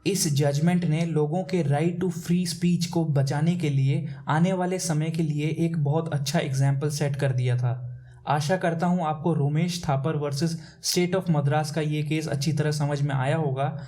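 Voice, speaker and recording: A man's voice: on the high side, fairly even intonation, measured pace, dark tone. An Indian man presenting a scripted educational narration in a formal, methodical explaining manner. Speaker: presenter of legal case explainers.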